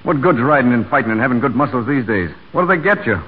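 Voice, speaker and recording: Husky, brash stable hand, tinny radio. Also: male voice, mid-range voice, animated, fast. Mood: brooding. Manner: earnest, blunt. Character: brash, brooding, virile stable hand, lovestruck. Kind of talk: 1940s live radio drama acting. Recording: tinny, narrow 1940 radio broadcast.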